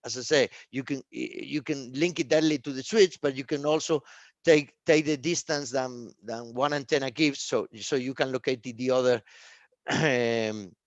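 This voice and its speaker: A man who is not a native English speaker gives a live technical product presentation, speaking haltingly and often repeating words and phrases. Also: mid-range voice, no echo, dull audio.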